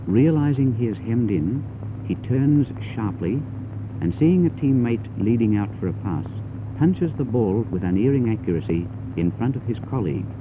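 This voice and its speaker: A man's voice: mid-range voice, expressive, normal speed, phone-quality sound. A man giving formal, instructive scripted narration for a 1930s training film, on a noisy old soundtrack. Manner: formal, didactic.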